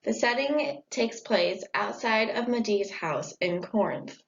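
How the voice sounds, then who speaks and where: A woman's voice: a little high, lively intonation, slow. A young woman presenting a school report in a plain, read-aloud manner. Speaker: student presenter. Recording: dull lo-fi audio.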